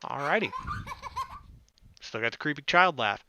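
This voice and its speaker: A man's voice: on the high side, lively intonation, moderate pace, background noise. A man reading a visual novel aloud as a let's play host, theatrical and mixing in casual joking commentary.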